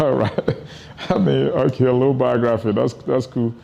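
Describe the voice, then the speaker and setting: A man's voice: medium pitch, steady tone, moderate pace, vocal fry, dark tone. Liberian man, slightly dull lo-fi sound. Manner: repeats and rephrases for emphasis. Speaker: interview guest, entrepreneur. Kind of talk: casual interview, personal storytelling.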